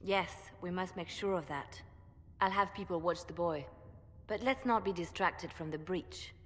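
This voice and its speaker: Woman as calm, lowish-voiced spymaster. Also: normal speed, close to an everyday voice. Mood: calm, watchful. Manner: controlled, courteous. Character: calm, watchful, calculating female spymaster. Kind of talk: video game cutscene acting. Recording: hall reverb.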